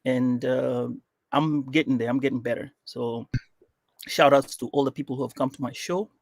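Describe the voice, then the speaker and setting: A man from Kenya, with Swahili as his first language, chats as a podcast guest in a loose, rambling way. He keeps stopping to reach for words and fills the gaps with "uh" and "um". His voice is mid-pitched and sits close and dry on the mic. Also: fairly even intonation, moderate pace, no echo. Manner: rambling, with frequent fillers and restarts. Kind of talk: casual interview chat.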